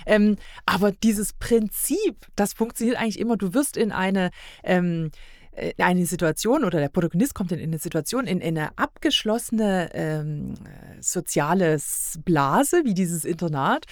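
Woman, lower-pitched, dry room-free recording, podcast guest, casual colloquial review talk. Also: lively intonation, normal speed, darkish tone, dry recording.

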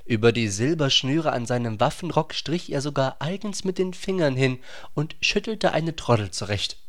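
A man's voice: medium pitch, expressive, normal speed. A man narrating a radio play from a literary script.

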